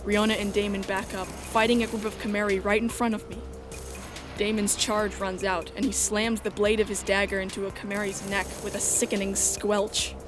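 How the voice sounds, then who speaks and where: Female narrator for a first-person action story, medium pitch, scripted dramatic read with music playing behind. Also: little pitch movement, normal speed.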